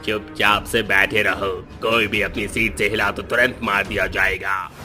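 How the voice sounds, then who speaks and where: A man's voice: on the low side, level tone, moderate pace, bright, forceful tone, soft background music. A man voicing a menacing, domineering ghost in a cartoon, speaking with threatening force. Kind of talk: animated horror story acting.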